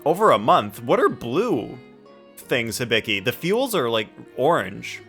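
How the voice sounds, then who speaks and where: A man's voice: mid-range voice, very animated, a little slow, gravelly, pushed and bright. A man hosting a casual gaming livestream, thinking aloud as he sets things up. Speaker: livestreamer, host. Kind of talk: casual gaming stream chat. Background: background music.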